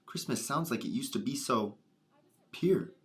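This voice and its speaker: A man's voice: medium pitch, lively intonation, measured pace, a loud crowd, natural voice. A man playing an earnest, wistful young man in a radio drama. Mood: wistful, pondering. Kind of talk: scripted radio drama acting.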